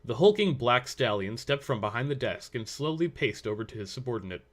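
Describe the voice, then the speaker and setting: Male narrator with a medium-pitched voice. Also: big pitch swings, fairly quick. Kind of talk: scripted story narration.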